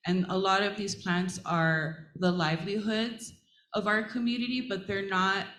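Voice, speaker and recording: A woman from Hawaii speaks earnestly on a conference panel. She talks at a middle pitch with plenty of pauses and fillers. Her voice carries the echo of a large room. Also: fairly even intonation, a bit bright, a little hiss or hum. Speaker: panelist, assistant professor. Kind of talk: panel talk to a live audience. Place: conference stage. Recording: roomy hall echo.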